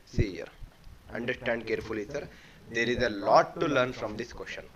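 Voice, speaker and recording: A man's voice: mid-range voice, moderate pace, crowd noise. A man teaching a live math lesson, explaining step by step and repeating points. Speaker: online exam-prep teacher. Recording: dull lo-fi audio with faint noise.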